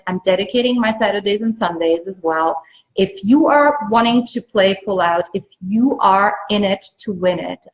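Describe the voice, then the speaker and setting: A woman from Austria, a native German speaker, speaks as a business coach giving an emphatic, repetitive motivational pitch on a livestream. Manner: emphatic, repeats phrases for stress. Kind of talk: motivational live sales pitch to viewers. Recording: dull lo-fi livestream audio.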